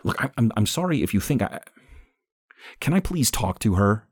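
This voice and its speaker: A man playing a husky, pleading, uneasy man. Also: on the low side, sing-song, moderate pace, no room sound, clean studio sound, voice pushed up. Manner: hesitant, pleading. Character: uneasy, guilt-ridden man, pleading and defensive. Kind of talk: audiobook character acting.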